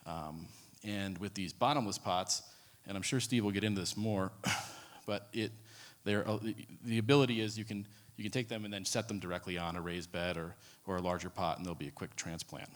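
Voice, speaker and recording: Man, low voice, conference presenter, relaxed, lots of ums, hall reverb. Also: a little fast. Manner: casual, many ums and restarts. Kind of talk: conference talk. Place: conference room.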